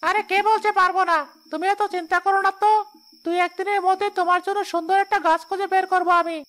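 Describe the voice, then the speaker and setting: A woman's voice: extremely high pitch, steady tone, fast, fairly bright tone. A woman voicing a placating, reassuring tree-dwelling ghost in a Bengali cartoon story.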